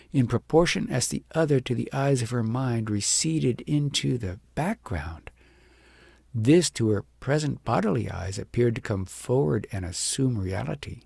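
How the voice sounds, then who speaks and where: A man narrating an audiobook in an expressive storybook style, with dramatic, deliberate phrasing. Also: mid-range voice, creaky, slightly rough, no room sound.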